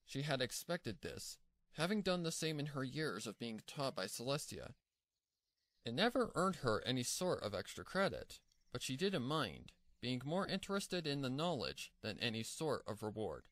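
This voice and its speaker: A man's voice: mid-range voice, very animated, moderate pace, fairly bright tone, no room sound. A man narrating a scripted story as its narrator.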